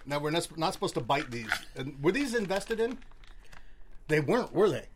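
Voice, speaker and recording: A woman's voice: sits low, very animated, moderate pace, darkish tone, slight background noise. A woman co-hosting a casual TV after-show who laughs a lot, teases, and imitates the others. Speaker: co-host.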